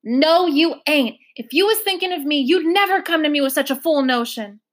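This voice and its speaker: A woman's voice: sits high, expressive, moderate pace, pitched above the performer's own voice. Woman voicing a bellowing stubborn foster mother. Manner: bellowing, folksy dialect, emotional. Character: stubborn older foster mother, country talk. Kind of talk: storybook reading.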